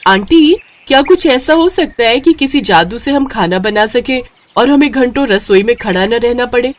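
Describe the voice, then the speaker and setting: A woman voicing a sweet, eager young bride in a story, with an expressive, animated delivery. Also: a little high, moderate pace, pitched above the performer's own voice, close to an everyday voice. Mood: curious, polite.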